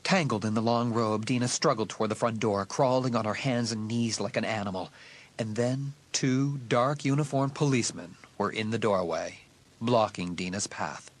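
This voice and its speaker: A man's voice: lowish voice, moderate pace, slight background noise. A man giving scripted narration of a horror story for an audiobook.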